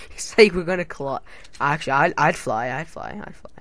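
A man's voice: fairly high pitch, lively intonation, moderate pace, a bit bright, no echo. A young man hosting a casual gaming commentary, joking, swearing and slipping into silly put-on accents. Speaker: gamer channel host.

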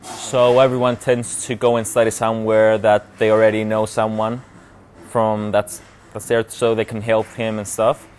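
A man's voice: on the low side, level tone, normal speed, a little mellow, a little hiss or hum. A young man with a Cypriot accent giving casual, hesitant interview answers full of fillers. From Cyprus. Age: young adult. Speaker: student society president. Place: large indoor student lounge.

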